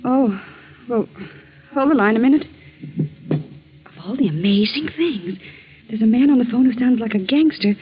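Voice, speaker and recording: A woman's voice: fairly high pitch, moderate pace, long pauses, lightly acted. A woman playing a perky, teasing grown daughter in a flippant 1940s radio drama style. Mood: excited. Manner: lively, flippant. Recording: dull 1940s radio recording.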